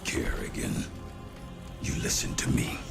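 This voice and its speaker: Man acting gruff defiant general, slow halting delivery, gravelly, injured strain, game cinematic, loud music. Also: close to an everyday voice. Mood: pleading, stern. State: wounded, coughing. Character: wounded gruff human general, defiant and bitter. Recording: loud film score behind.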